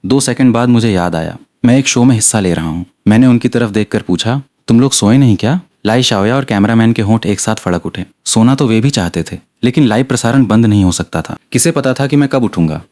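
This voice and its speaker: A man's voice: medium pitch, animated, brisk, a little mellow. A man reading a scripted story aloud as an audiobook narrator.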